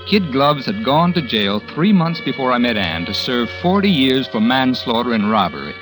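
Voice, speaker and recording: A man's voice: medium pitch, very animated, normal speed, natural voice, poor audio. A man playing a bitter, self-absorbed novelist who narrates and talks in an old radio drama, with a brooding tone. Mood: cynical. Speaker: struggling novelist narrating his story. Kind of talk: 1940s radio drama acting. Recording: old radio broadcast with music bed.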